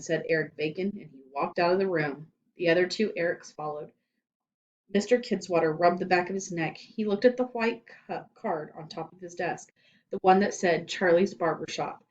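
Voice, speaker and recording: A woman reading a children's storybook aloud in an expressive narrating style. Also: on the low side. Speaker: storybook reader. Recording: slightly dull, lo-fi sound.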